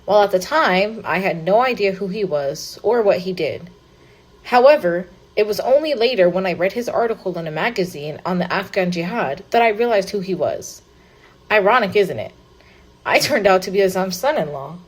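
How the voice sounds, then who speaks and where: A woman's voice: mid-range voice, animated, moderate pace, breathy edge, poor audio. A woman reading as a young man telling his story. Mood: earnest. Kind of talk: scripted first-person narration.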